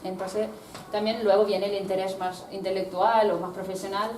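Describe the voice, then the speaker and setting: A woman from Italy, a researcher giving a talk with slides, speaking thoughtfully and pausing often to search for words. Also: medium pitch, flat delivery, darkish tone. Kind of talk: discussion talk presenting slides. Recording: roomy, distant mic, background noise.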